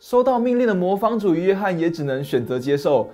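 A middle-aged man tells a fairy tale with dramatic, animated delivery. He is recorded on a lapel mic in a small study, with faint music underneath. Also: high-pitched, a little fast. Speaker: storyteller host. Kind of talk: scripted fairy-tale narration. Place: small dim study set.

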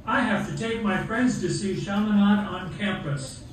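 Man playing a high-pitched jolly Santa puppet. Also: moderate pace, natural voice. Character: jolly old Santa puppet hosting a Christmas show. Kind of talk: children's puppet show acting. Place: theatre, behind puppet stage curtain. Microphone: far camera. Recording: very echoey hall from far off, faint noise.

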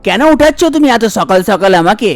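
Woman doing an exclaiming young husband, lowered voice. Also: lively intonation, fast, faint music behind, close to an everyday voice. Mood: startled, annoyed. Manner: exclaiming, questioning. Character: startled, grumbling young husband in a cartoon. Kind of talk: cartoon story character voice.

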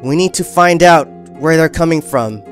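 A man's voice: on the high side, moderate pace, faint music behind. A man hosting and acting in a dramatic improvised Minecraft roleplay. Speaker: gaming roleplay host.

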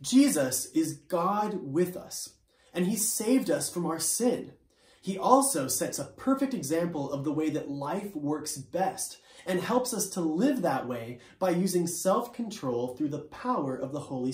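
A young man leading a school chapel from home, reading scripture calmly and singing playful children's songs with guitar. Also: a little high, unhurried. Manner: playful, animated when singing, calm and plain when reading. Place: living room, seated on a couch. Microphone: camera in front, upper body framing. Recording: roomy home recording with guitar.

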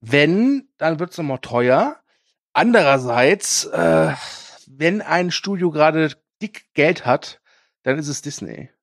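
A man's voice: fairly high pitch, lively intonation, slow pace, creaky, dry recording, studio quality. A man co-hosting a casual film news podcast, talking with frequent hesitations and filler sounds. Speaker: podcast co-host.

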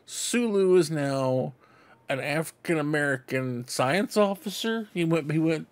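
A man's voice: on the high side, animated, a little slow. A middle-aged man hosting a fan vlog, giving casual show-and-tell commentary in a rambling, conversational way. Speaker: host of a fan book club vlog. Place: cluttered room indoors at home. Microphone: desk microphone close in front.

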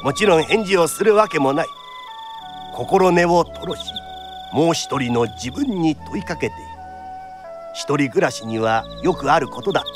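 Man, high-pitched, lively storyteller narration, period radio drama, theatrical delivery. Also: normal speed, over music.

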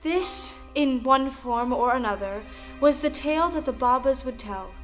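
Female storyteller for folktale audio play, high-pitched, over soft music. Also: expressive, a little slow. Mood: storytelling. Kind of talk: scripted dramatic folktale narration. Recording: music behind the voice.

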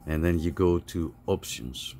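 A middle-aged man giving a casual, halting, step-by-step phone app tutorial over some background noise. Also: low-pitched, dark tone. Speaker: tutorial host.

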